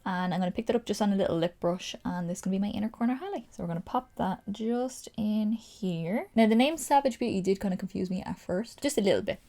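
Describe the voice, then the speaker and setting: A woman's voice: mid-range voice, fast, few pauses, warm tone. A young Irish woman with an Irish accent, chatting casually through a makeup tutorial, gushing and explaining each step as she goes. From Ireland. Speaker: makeup vlogger.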